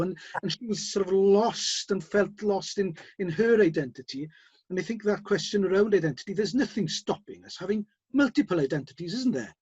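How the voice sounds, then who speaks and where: A man with a slightly high, husky voice hosts a discussion, nudging his guests along with hesitant, filler-laden questions. His voice comes through dry and a little dull, with no room around it. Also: darkish tone, no echo. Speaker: host. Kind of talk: interview discussion.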